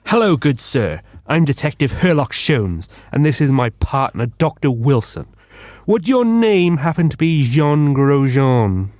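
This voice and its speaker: An actor playing a bumbling, self-important London detective with a pompous, eager manner in a comedic radio drama. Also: male voice, mid-range voice, big pitch swings, unhurried, a little mellow, no echo, clean studio sound. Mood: inquisitive.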